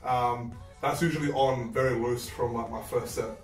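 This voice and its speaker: A man's voice: mid-range voice, steady tone, normal speed. A man in his thirties giving casual, conversational coaching advice, explaining things step by step. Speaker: co-host, powerlifting coach. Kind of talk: casual instructional chat. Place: small bare-walled room indoors. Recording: roomy echo, distant mic, faint music.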